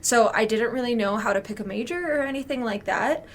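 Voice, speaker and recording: A young woman in her twenties answering interview questions, telling her story fluently and relaxed. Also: a little high, brisk. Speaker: graduate student guest.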